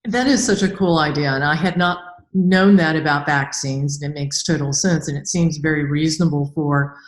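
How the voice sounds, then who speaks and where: Female podcast host with a fairly low voice, casual interview chat, recorded with noticeable room echo. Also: moderate pace. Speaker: podcast host, author and engineer. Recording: roomy, echoey sound.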